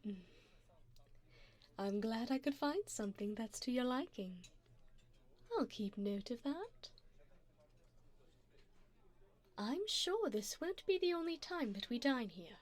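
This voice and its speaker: Slow, elegant vampire woman, acted by a woman. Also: on the high side, lively intonation. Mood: flirtatious, teasing. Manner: formal, teasing, unhurried endearments. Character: elegant, flirtatious vampire woman, refined, calm and predatory. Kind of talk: ASMR roleplay to the listener.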